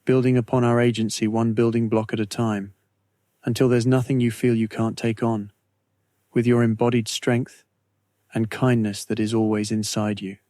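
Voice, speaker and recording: A man narrating a scripted guided meditation in a measured, soothing way, with deliberate phrasing and pauses. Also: lowish voice, flat delivery, dark tone, no echo, studio quality.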